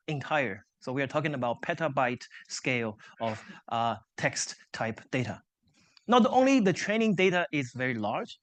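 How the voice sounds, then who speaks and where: A man whose first language is Chinese, giving an academic seminar lecture to a live audience, explaining ideas step by step with light self-deprecating humor and frequent checks like "right?". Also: medium pitch. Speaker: invited seminar speaker, engineering professor.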